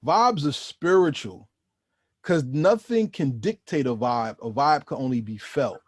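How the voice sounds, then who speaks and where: Emphatic New interviewer, dry sound. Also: male voice, mid-range voice, animated, no echo. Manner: emphatic, reflective, drawn-out phrasing. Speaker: interviewer host. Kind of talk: interview questions and commentary.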